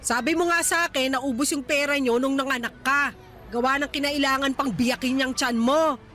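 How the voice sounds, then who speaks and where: A woman's voice: high voice, fairly even intonation, normal speed, fairly bright tone. A woman playing a scolding, blunt middle-aged mother in a Tagalog radio drama. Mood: defensive scolding. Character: nagging, sharp-tongued mother of a young married daughter.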